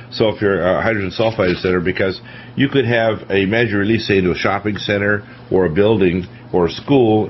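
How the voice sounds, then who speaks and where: A man hosting a talk radio panel, lecturing emphatically and warning of disasters. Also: low-pitched. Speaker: radio talk show host. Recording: dull lo-fi radio broadcast with faint hiss.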